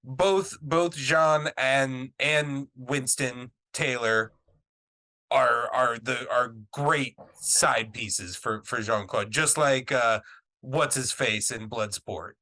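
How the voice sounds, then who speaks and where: A man casually discussing a film with a friend, laughing often and pausing on fillers. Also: mid-range voice, bright, forceful tone. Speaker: podcast co-host.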